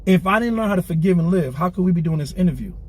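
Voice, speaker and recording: A man's voice: high-pitched, lively intonation, very fast, husky. Streetwise man in his forties. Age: 40s. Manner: streetwise, earnest, repeats himself. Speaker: clothing brand founder, guest. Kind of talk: candid interview. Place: inside a car.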